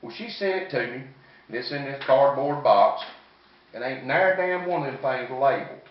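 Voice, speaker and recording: A man's voice: mid-range voice, expressive, moderate pace. Echoey, distant Southern man telling folksy stories. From American South. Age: 50s. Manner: folksy, rambling, salty-tongued. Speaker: comedian. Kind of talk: stand-up comedy storytelling. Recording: echoey, distant, muffled room recording.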